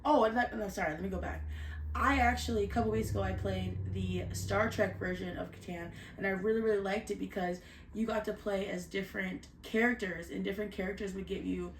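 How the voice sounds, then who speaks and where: A woman's voice: medium pitch, fairly even intonation, fairly quick, a little hiss or hum. A Canadian woman co-hosting a board game review show. She chats casually and candidly and leans on "like" as a filler. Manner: chatty, candid, lots of "like" fillers. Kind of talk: casual chat. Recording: roomy echo.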